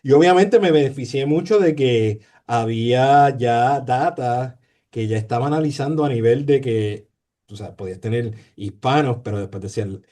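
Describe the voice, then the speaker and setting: A man's voice: medium pitch, normal speed, warm tone. A man with a Puerto Rican Spanish accent, a sociologist talking in an interview, hesitant, with many fillers, repeated words and tag questions. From Puerto Rico. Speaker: sociologist guest. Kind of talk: conversational interview.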